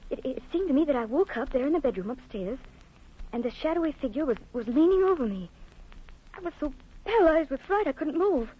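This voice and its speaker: A woman's voice: sits high, animated, fairly quick. An actress playing a frightened, anguished wife in old-time radio horror, breathless and faltering in her delivery. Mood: shaken. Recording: noisy, dull old-time radio broadcast.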